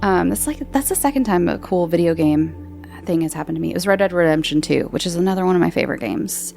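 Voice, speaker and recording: A woman's voice: lowish voice, lively intonation, quick pace, creaky, a little mellow, soft background music. A woman hosting a podcast, answering listener questions in a casual, rambling chat full of fillers and restarts. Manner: chatty, meandering, many fillers and restarts.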